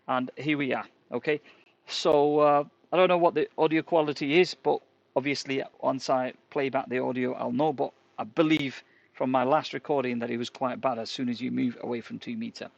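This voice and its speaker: A man who runs a repair workshop, talking casually and haltingly while testing an earpiece mic as he walks around his workshop. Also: mid-range voice, creaky, muffled recording. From England. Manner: rambling and hesitant, many fillers and "basically". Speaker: repair shop owner reviewing a product. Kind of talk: casual product test vlog. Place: indoor workshop, walking between rooms. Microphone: wireless earpiece, later a wired headset.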